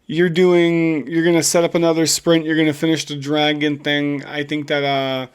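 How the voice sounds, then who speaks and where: A man with a voice a little higher than usual chats casually as a podcast co-host. He is informal and encouraging, like someone cheering on a friend. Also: level tone, moderate pace, clear, resonant tone. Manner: encouraging, informal, says "dude" often. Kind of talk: casual chat.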